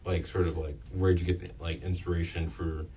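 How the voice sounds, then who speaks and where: A young man with a very low voice hosts a casual student radio interview. He speaks informally and haltingly, groping for his phrasing. He sounds a little away from the mic, with faint noise behind him. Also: level tone, warm tone, distant mic.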